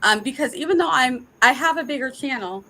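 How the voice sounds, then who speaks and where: A woman's voice: high voice, expressive, brisk, sharp tone, slight background noise. A woman hosting a casual online chat, talking emphatically with restarts and frequent laughs, on a video call. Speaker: host.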